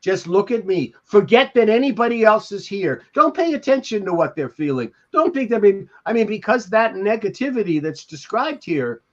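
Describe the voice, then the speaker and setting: An older American man speaks on a lo-fi, muffled call. His voice sits slightly high for a man. He reminisces and reads aloud, pausing and feeling his way through each thought. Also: big pitch swings, fairly bright tone. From Beverly Hills, California. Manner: halting, reflective, anecdotal. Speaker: podcast co-host. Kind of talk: discussing and reading from a memoir. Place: indoors at home, on a video call. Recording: dull lo-fi video call audio.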